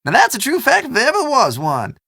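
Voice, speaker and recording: An actor playing a folksy old storekeeper in a video game, chatty and teasing, with a drawl. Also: male voice, very high-pitched, huge pitch swings, a little fast, vocal fry, sharp tone, breathy edge, no room sound, clean studio sound, exaggerated voice. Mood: theatrical, folksy. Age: older. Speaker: small-town general store keeper. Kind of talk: video game character dialogue.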